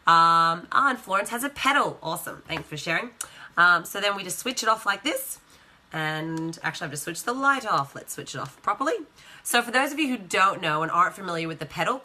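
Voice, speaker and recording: Aussie lady with a croaky voice doing a live how-to, chatty, bright tone, voice sits a bit low. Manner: chatty, greets live viewers, self-correcting asides. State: croaky, partly lost voice. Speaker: essential oil educator hosting a live video. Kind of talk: casual live demonstration.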